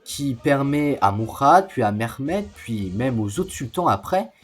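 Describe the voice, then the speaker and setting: A man's voice: mid-range voice, lively intonation, measured pace, slightly rough. A man narrating an educational history video in an explanatory way, with informal asides. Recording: music behind the voice. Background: music.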